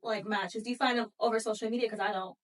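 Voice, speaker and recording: Young woman in her 20s, kinda high voice, asks lots of questions, a little off mic. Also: steady tone, quick pace, distant mic. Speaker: podcast co-host. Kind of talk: casual chat.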